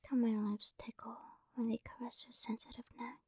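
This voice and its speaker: A woman doing a whispered romantic ASMR roleplay, speaking softly and coaxingly, close to the microphone. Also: a little high, flat delivery, brisk, no room sound. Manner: soft breathy whispering, affectionate and coaxing. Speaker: ASMR performer.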